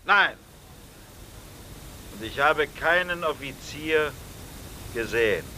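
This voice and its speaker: An actor playing a surly, evasive village innkeeper in a 1950s German radio play. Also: male voice, on the high side, lively intonation, takes it slowly, bright, forceful tone, heavily acted, poor audio. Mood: shaken, surly.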